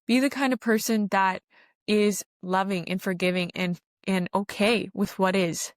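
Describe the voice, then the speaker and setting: A woman's voice: mid-range voice, fairly even intonation, measured pace, no echo, clean studio sound. A woman hosting a spiritual self-help podcast, speaking earnestly and urging the listener on, often repeating phrases for emphasis. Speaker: podcast host.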